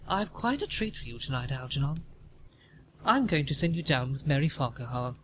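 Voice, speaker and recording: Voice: mid-range voice, big pitch swings, normal speed, fairly bright tone. Male actor as imperious older aristocratic woman, disapproving, formal, pompous, audio play, faint background noise. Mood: gossipy, stately. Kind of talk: audio play comedy of manners.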